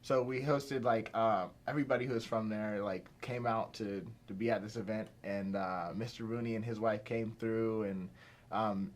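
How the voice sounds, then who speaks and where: A young man from Pittsburgh, a filmmaker guest chatting casually in an interview, rambling with lots of fillers and restarts. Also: lowish voice. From Pittsburgh, USA.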